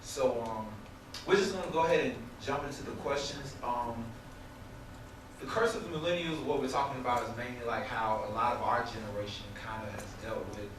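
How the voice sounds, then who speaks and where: A man's voice: medium pitch, brisk. A young man moderating a student panel discussion, talking loosely with many fillers. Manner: loose, filler-heavy phrasing. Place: indoor event hall. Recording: echoey hall, distant mic, background noise.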